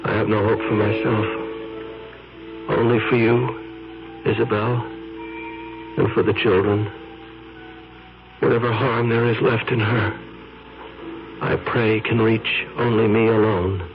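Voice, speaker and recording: An actor playing an obsessed, frightened photographer in a 1970s radio drama, talking in a halting, anxious way. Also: male voice, on the low side, flat delivery, soft background music. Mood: somber. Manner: anxious, halting, breaks into panic. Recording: dull 1970s radio broadcast.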